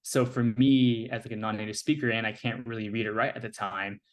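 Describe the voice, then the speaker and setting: A young man with an American accent and a fairly low voice talks as a podcast guest. His delivery is casual and easygoing, scattered with fillers as he thinks aloud. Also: a little fast. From United States. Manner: relaxed, filler-heavy speech with many "like" and "you know". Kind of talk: casual conversational interview.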